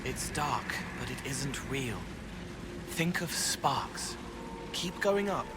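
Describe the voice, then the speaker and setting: An actor playing a gentle, odd young male spirit in a video game, soft-spoken, talking in disjointed, cryptic phrases. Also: mid-range voice, animated, slow, over loud music. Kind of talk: video game character acting.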